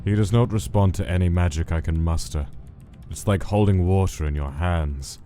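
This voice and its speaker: A man's voice: low voice, moderate pace, warm tone, close to an everyday voice. A man playing a cold, stern, guilt-weary skeleton scientist in a comic dub, speaking in a grave, clipped, formal and commanding way. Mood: brooding. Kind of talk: dramatic comic dub acting. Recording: music behind the voice.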